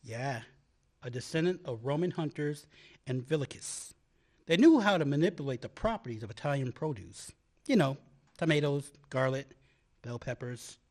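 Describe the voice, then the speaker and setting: A man's voice: mid-range voice, expressive, normal speed, a little mellow, natural voice. An actor playing an earnest man in love, a historical researcher, in a dramatic radio-play style. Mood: teasing. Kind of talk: stage radio play acting.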